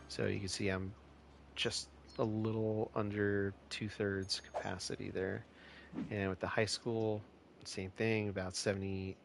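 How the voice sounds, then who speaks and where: Gravelly low-voiced man streaming a game. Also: a little hiss or hum. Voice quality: a bit nasal, stuffed-up. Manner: relaxed, rambling, many fillers and restarts. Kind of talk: casual live-stream commentary.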